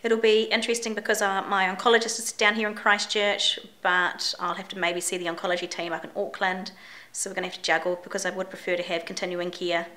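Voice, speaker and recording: A middle-aged New Zealand woman with a bright, forward voice and a slight croak. She talks openly and chattily, with fillers and restarts, in a personal video diary. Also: medium pitch, level tone, fast talker. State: croaky voice from a chest infection. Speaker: vlogger.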